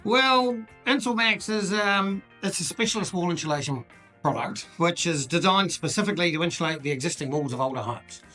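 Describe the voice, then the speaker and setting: A man's voice: high voice, expressive, fairly quick, music in the background. A man with a New Zealand accent, a company owner being interviewed, explaining his product in an easygoing way. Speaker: business owner guest. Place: bar in a city street.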